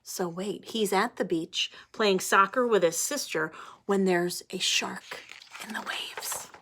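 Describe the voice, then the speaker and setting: A woman's voice: mid-range voice, slight background noise. A woman teacher reading a picture book aloud to children, stopping to ask them questions. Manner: animated, addresses children directly. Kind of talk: read-aloud storytime for children.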